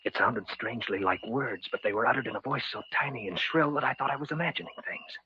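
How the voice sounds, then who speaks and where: An actor playing a level-headed man who narrates an eerie old-time radio drama in a measured, dramatic way. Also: mid-range voice, breathy edge. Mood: suspenseful. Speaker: narrator and lead character. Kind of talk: 1940s-style radio drama narration and dialogue. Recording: dull old radio recording with music.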